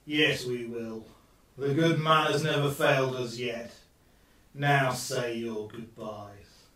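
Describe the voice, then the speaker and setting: A man's voice: mid-range voice, steady tone, takes it slowly, pushed and bright, far from the mic. A man playing a cocky, menacing burglar who sneers and taunts in a steampunk radio play. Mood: gloating menace. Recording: echoey room, off mic.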